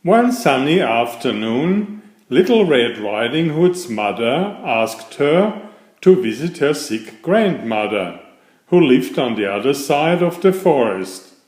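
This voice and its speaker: A man narrating a children's fairytale aloud in a deliberate, expressive storybook style. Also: medium pitch, echoey room.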